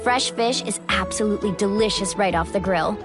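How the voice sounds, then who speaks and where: A woman's voice: mid-range voice, fairly quick, music in the background, lightly acted. A woman playing a friendly, easygoing young guide in a video game, with a breezy, casual and reassuring manner. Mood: friendly, upbeat. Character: Mualani, friendly young female water-tribe guide, easygoing and upbeat. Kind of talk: video game character acting.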